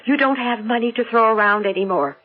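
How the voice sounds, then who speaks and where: A woman's voice: on the high side, fairly quick, faint music behind, close to an everyday voice. An actress playing an imperious, insistent older mother in a 1970s radio drama, commanding and emphatic, on a muffled old broadcast.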